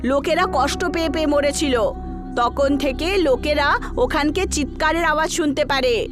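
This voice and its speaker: Female narrator for animated horror tales, high voice, scripted storytelling over a music bed. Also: moderate pace. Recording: background music under the voice.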